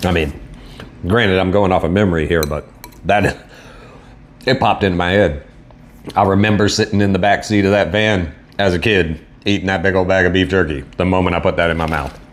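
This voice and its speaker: A man with a Southern American accent casually reviewing food on camera in a relaxed, rambling way. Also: deep voice, brisk. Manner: laid-back, rambling, self-deprecating. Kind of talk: casual solo video review.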